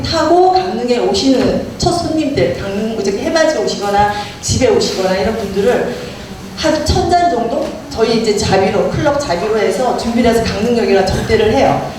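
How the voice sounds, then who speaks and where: A middle-aged woman with a Gangwon Korean accent, chatting as a guest speaker about a local club and telling her story with many fillers. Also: a little high. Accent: Gangwon Korean dialect. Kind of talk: casual talk to a gathering. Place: community meeting room. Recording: echoey, distant, noisy room.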